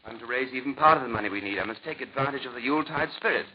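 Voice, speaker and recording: An actor playing a harassed, irritable bishop in a 1940s radio drama, with a testy, formal, declamatory way of speaking. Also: male voice, mid-range voice, racing, a crowd in the background, natural voice. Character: harassed, irritable bishop obsessed with his cathedral. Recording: muffled, hissy 1940s radio recording.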